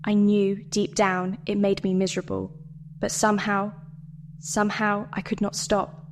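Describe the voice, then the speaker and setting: A woman delivering a scripted guided meditation and dramatic monologue in a measured, deliberate way with pauses. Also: mid-range voice, flat delivery, a little hiss or hum. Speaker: meditation narrator.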